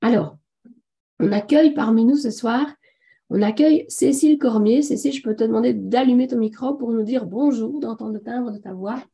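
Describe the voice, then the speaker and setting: A woman with a hoarse voice from a sore throat, enthusiastically and a little haltingly presenting a live online webinar as host. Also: fairly high pitch, warm tone. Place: online video conference.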